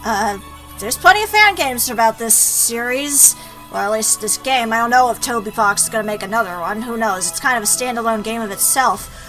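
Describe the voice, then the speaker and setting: A woman's voice: on the high side, a little fast, bright, forceful tone, soft background music, rough recording. A woman hosting a let's play, chatting playfully and reacting aloud as she reads through a game. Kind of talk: gaming commentary with read-aloud game text.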